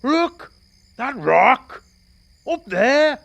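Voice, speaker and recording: An actor playing a bitter, sardonic and frightened passenger in a radio play, with a wry, theatrical manner. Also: male voice, extremely high pitch, big pitch swings, extremely slow, vocal fry, a bit bright, dull audio, full character voice. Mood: urgent, alarmed.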